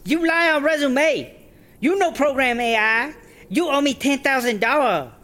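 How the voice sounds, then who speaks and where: A woman's voice: high-pitched, unhurried, fairly bright tone. A woman playing a scheming, scornful startup boss who berates people in caricatured broken English in a comedy audio drama. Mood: angry.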